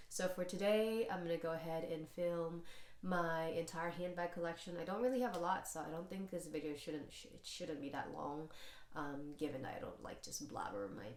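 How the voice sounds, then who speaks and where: A congested woman with a nasal voice chatting casually and a little haltingly to her channel's viewers, as she gets over a mild illness. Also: fairly low pitch, darkish tone. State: congested, recovering from mild sickness. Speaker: vlogger, channel host. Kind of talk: casual handbag collection video chat. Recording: echoey room with hiss and clicks.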